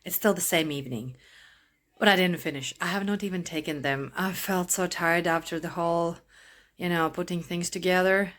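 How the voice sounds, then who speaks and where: A woman's voice: on the low side, fairly even intonation, moderate pace, fairly bright tone. Chatty woman vlogger. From Latvia. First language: Latvian. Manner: rambling, chatty, self-interrupting. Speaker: crochet vlogger. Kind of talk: casual chat to camera.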